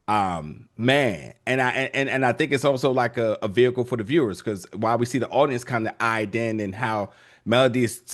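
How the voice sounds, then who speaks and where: A man interviewing film guests, rambling through long questions with many restarts. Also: medium pitch.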